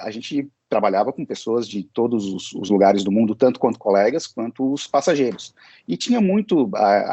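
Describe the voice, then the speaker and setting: A man's voice: medium pitch, warm tone, slightly rough, no echo, lo-fi sound. A man teaching English, answering interview questions in a hesitant way, with many fillers and restarts.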